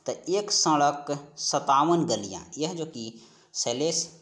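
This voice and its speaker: A man's voice: a little high, lively intonation, takes it slowly, a bit bright. A man giving an instructional lesson as an exam-prep teacher, working through questions and repeating key points and answers. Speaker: teacher of Hindi for exam preparation.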